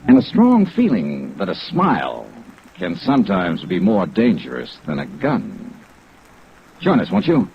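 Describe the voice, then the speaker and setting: Low-voiced hardboiled radio detective. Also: male voice, wildly swooping pitch, unhurried, gravelly, a little hiss or hum, exaggerated voice. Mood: ominous. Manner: clipped, wry hardboiled delivery. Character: tough, smooth male insurance investigator, middle-aged, narrating his case. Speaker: lead actor and narrator of a detective serial. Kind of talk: 1950s radio detective acting. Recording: dull, lo-fi vintage radio recording.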